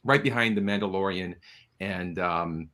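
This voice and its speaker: Man, slightly low pitch, producer, interview guest, conversational, promotional. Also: a little slow, bright, forceful tone.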